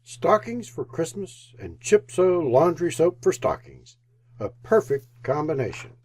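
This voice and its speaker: A man's voice: on the high side, big pitch swings, unhurried, darkish tone, husky. A man narrating as a radio announcer, reading scripted old-time drama in a theatrical storytelling manner.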